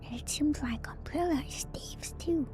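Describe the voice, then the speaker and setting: A woman streamer doing a whispered ASMR reading with casual commentary, musing in a hushed, drawn-out way. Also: high voice. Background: background music.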